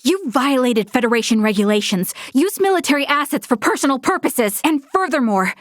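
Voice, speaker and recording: A woman's voice: high voice, lively intonation, brisk, no echo, studio quality. A woman voicing a principled, stern young female military officer in a video game, with a formal, earnest delivery. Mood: indignant, defiant. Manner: formal, earnest, crisply articulate. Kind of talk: video game character voice acting.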